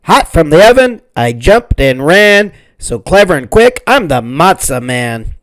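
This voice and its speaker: A man's voice: a little high, very animated, a little slow. A man voicing a cocky, taunting little matzah man come alive, chanting boastful rhymes in a sing-song storybook manner. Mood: cocky, excited.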